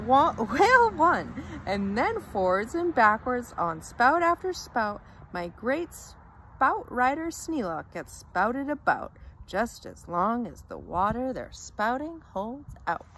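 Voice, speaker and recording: A woman's voice: fairly high pitch, sing-song, slow, fairly bright tone, slight background noise. A woman reading a rhyming children's story aloud with theatrical, dramatic emphasis.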